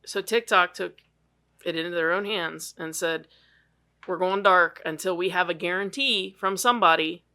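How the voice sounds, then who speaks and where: A woman's voice: fairly low pitch, moderate pace, pushed and bright. A woman co-hosting a podcast in casual chat, blunt and swearing freely, laughing as she rants. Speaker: podcast co-host.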